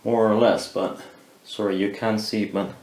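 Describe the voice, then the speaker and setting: A man casually narrating a hands-on DIY build, haltingly thinking aloud as he works. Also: on the low side, little pitch movement, a little mellow. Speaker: DIY maker demonstrating a build.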